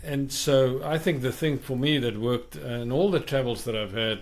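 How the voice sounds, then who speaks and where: A man's voice: medium pitch, moderate pace. Middle-aged South African man, mid-range voice, thoughtful and emphatic podcast co-host. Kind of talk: reflective podcast conversation.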